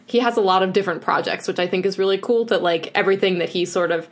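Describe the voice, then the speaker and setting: A woman's voice: medium pitch, fast talker. A woman co-hosting a podcast in casual chat, gushing and chatty, with lots of filler "like"s. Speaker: podcast co-host.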